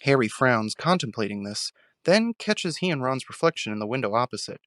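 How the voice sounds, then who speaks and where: A man's voice: medium pitch, expressive, fairly quick, fairly bright tone, no room sound, studio quality. A man giving scripted narration for an audio drama, describing scenes as a storyteller.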